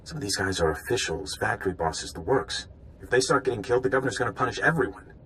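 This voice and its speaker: Anxious informant in a game, kinda low voice, sincere, unsure of himself, slight background noise. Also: male voice, lively intonation, quick pace, fairly bright tone, poor audio. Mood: worried. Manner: hesitant, earnest, deferential. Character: anxious, conscientious young male undercover informant. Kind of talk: video game voice acting.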